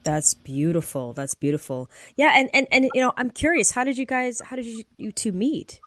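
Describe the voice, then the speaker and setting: A woman's voice: fairly high pitch, lively intonation, normal speed, a bit bright, dry recording. A woman hosting an interview, asking long rambling questions and affirming answers. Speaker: interview host. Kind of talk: podcast interview.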